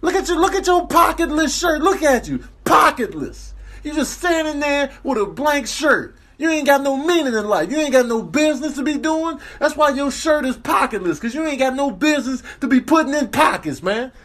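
Man voicing a high, argumentative young boy. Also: lively intonation, fairly bright tone. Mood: defiant. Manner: emphatic, argumentative, rapid bursts. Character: defensive, self-righteous young boy. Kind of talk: comedic voiceover.

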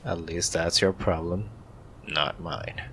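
A man's voice: low-pitched, slow, slight background noise, heavily acted. A man playing a grumpy, shy young incubus student in an ASMR roleplay, grudging and hesitant, sometimes half-whispering. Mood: stern, sardonic. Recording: steady rain ambience behind voice.

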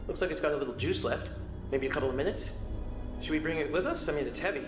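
A man's voice: a little high, fast talker, close to an everyday voice. An actor playing an anxious, brainy young American man in a horror game, hesitant as he thinks aloud. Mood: matter-of-fact. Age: young adult. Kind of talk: video game dramatic acting. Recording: echoey metal hall, loud music.

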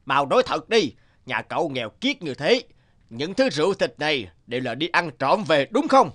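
Sneering greedy neighbour, high male voice, very animated delivery, boastful, cartoon fairy tale. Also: normal speed. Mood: accusing, scornful. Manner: boastful, exclamatory, scheming. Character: greedy, sneering, bullying rich neighbour man.

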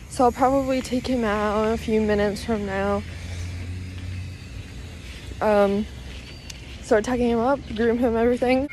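Teen girl rambling to her phone cam, kinda high voice, slow easy pace. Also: a little mellow, a little hiss or hum, poor audio. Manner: chatty, rambling with fillers. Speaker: vlogger. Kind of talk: casual vlog narration. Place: cold indoor riding barn, then bedroom. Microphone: phone held close.

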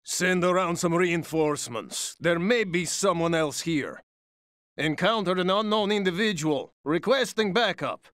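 Dutiful patrol soldier, high and animated, clipped game radio reports, dry studio sound. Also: male voice, unhurried, dry recording. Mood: businesslike. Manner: clipped, military. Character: alert, dutiful male enemy soldier on patrol.

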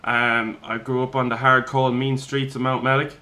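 A young Irish man in his twenties with a bright, forward voice, telling his story in a loose, relaxed way full of fillers and little laughs. He sits in a flat's kitchen and is picked up by a single room mic. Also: medium pitch, moderate pace. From Ireland. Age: 20s. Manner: easygoing, chuckles often, loose with fillers. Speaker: podcast host. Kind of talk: casual chat, telling his own story. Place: kitchen of a flat, indoors.

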